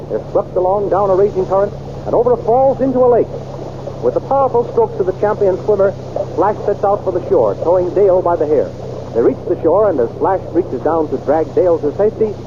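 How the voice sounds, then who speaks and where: Guy doing old-timey radio serial narration, high voice, dramatic, muffled old recording. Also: background noise. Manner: dramatic, brisk announcing. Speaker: radio announcer.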